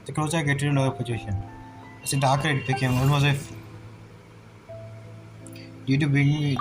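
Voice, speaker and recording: A man giving halting, think-aloud gameplay commentary as a streamer, with music playing behind him. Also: medium pitch, little pitch movement, rough recording. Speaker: gaming streamer. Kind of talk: game commentary, reacting and narrating.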